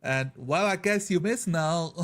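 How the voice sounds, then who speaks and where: A man with a slightly high voice chats casually as a tabletop roleplayer. He tends to repeat and rephrase phrases to drive his point home. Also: slow, a bit bright. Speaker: tabletop roleplayer on a gaming show. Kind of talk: casual chat.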